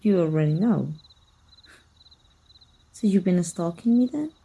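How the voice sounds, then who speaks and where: Woman voicing a female vampire hunter, dark mellow tone, creaky edges, flirty taunts, cool menace, wistful, intimate ASMR roleplay. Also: fairly low pitch, animated, exaggerated voice. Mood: taunting, flirty. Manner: slow, teasing, softly taunting.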